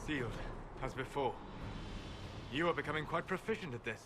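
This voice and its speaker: Actor as a grave scholarly mage, noisy backdrop. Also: male voice, fairly high pitch, lively intonation, natural voice. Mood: approving. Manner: formal, measured. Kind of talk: dramatic video game acting.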